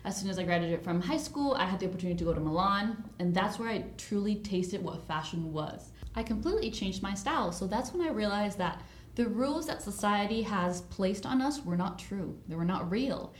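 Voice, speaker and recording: A woman's voice: mid-range voice, lively intonation, a little fast, slight background noise. A woman in her twenties from El Salvador, a guest image consultant telling her story eagerly in a casual podcast chat. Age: 20s. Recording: roomy echo.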